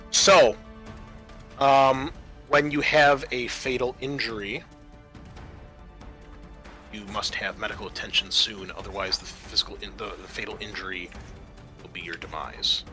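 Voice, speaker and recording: Bright-voiced man running a tabletop game. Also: on the low side, fairly even intonation, measured pace, long pauses. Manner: methodical, explanatory. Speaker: game master. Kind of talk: explaining tabletop game rules to players. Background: music.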